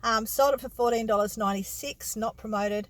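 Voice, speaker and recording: A woman's voice: on the high side, a little fast, fairly bright tone. A middle-aged woman with an Australian accent talking casually to her viewers, rambling and correcting herself as she goes. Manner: rambling, self-correcting, colloquial. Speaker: vlogger and secondhand reseller. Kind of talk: casual chatty vlog monologue.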